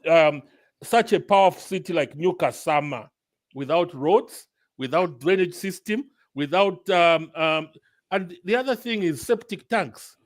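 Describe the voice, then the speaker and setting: A man on a live online panel, animated and making his points in quick bursts. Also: sits high, dry recording, clean studio sound.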